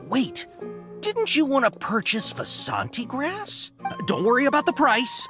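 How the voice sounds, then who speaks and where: A woman voicing a grateful, generous young female shopkeeper in a video game, expressive and effusively polite. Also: high voice, unhurried, over music, heavily acted. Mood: grateful, insistent.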